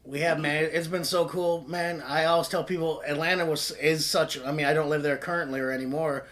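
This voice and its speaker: A man's voice: a little high, steady tone, a little fast, fairly bright tone. A man hosting an interview podcast, talking in a friendly, conversational way and calling his guest "man" a lot. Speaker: podcast host, historian. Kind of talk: casual interview chat.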